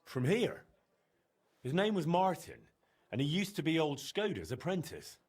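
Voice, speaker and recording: An actor playing an earnest, polite young blacksmith's son with a husky voice in a video game. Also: male voice, a little high, big pitch swings, measured pace, no room sound, exaggerated voice. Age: young adult. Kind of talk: video game dialogue acting.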